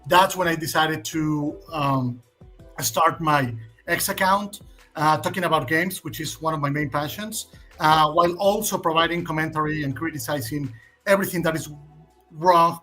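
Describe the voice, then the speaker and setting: A man's voice: a little high, pushed and bright. A man in his forties from Mexico, a native Spanish speaker with a Mexican Spanish accent, giving opinionated answers as a guest on a casual stream, with frequent fillers and restarts.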